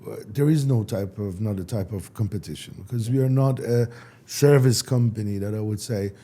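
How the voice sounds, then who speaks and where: Man, very dark tone. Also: on the low side. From Iran. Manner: halting, restarts, non-native phrasing. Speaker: startup founder, guest. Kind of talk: casual interview answers.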